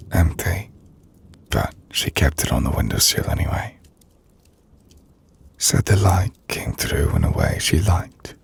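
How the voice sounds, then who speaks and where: A man playing an ancient, brooding vampire lord in an intimate ASMR roleplay, speaking formally and drily in a gravelly, breathy near-whisper. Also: low-pitched, heavily acted. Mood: wistful, reflective. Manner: formal, measured, dry. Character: ancient brooding vampire lord, dryly teasing, courteous host.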